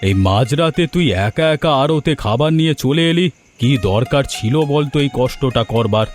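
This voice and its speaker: A man voicing a hardworking elder brother in a family cartoon drama, gentle and reassuring. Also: mid-range voice, expressive, normal speed, faint music behind, natural voice. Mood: earnest. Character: hardworking elder brother, tired but devoted.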